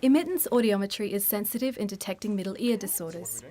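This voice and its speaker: A woman reading scripted instructional narration in a formal, measured, explanatory way. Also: mid-range voice, lively intonation, mellow, slight background noise.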